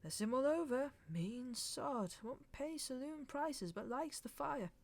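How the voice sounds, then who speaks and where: A woman's voice: high-pitched, lively intonation, a little slow, voice pushed up, exaggerated voice. A woman reading an audiobook voices a male pub landlord who grumbles and jeers at a customer. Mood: disapproving. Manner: grumbling, jeering.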